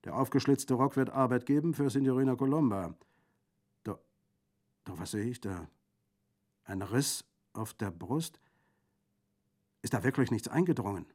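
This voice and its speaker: A man's voice: mid-range voice, animated, unhurried, pauses often, a little mellow, pitched above the performer's own voice. A man voicing a boisterous Corsican bandit in an audiobook, jovial and exclamatory, with a gleefully mocking, gruesome humour. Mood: brisk, bantering. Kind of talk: audiobook character acting.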